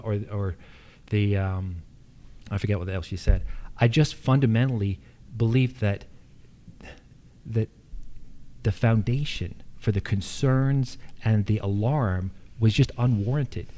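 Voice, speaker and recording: A man's voice: lowish voice, mellow. An American man giving unscripted answers as a candidate at a public forum. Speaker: political candidate, incumbent county official.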